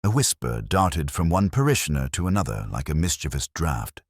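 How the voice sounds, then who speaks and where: Very deep-voiced man narrating, lively intonation. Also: normal speed, breathy edge, no room sound, clean studio sound. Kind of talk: scripted narration of a wry British village mystery.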